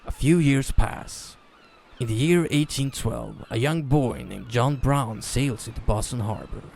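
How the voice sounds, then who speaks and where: A man narrating a story as a dramatic reading, theatrical in manner with deliberate pauses. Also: mid-range voice, creaky, darkish tone, slight background noise.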